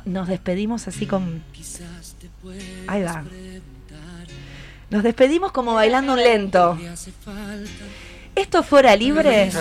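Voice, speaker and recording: A woman with an Argentine accent hosting a live radio interview, encouraging her guests and asking them questions. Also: on the high side. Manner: encouraging, asks many questions. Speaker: radio show host.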